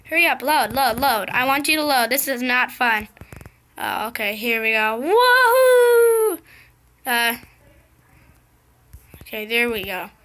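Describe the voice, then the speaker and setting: A young girl commentating her gameplay in a casual, excited, chatty way, her voice slightly scratchy. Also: fairly high pitch, slow pace, pauses often. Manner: excited, chatty, impatient exclamations. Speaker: gameplay video host.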